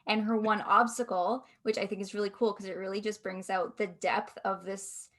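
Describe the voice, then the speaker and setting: Woman actor chatting, medium pitch. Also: little pitch movement, moderate pace, fairly bright tone. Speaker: audio drama actor. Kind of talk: casual cast discussion.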